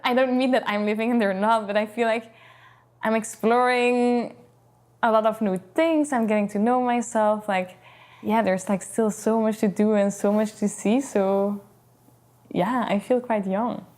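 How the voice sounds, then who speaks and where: A woman's voice: on the high side, fairly even intonation, measured pace, a little mellow. Upbeat woman in her late twenties from Belgium. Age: late 20s. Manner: upbeat, casual, lots of "like". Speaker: interviewee. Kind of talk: documentary interview.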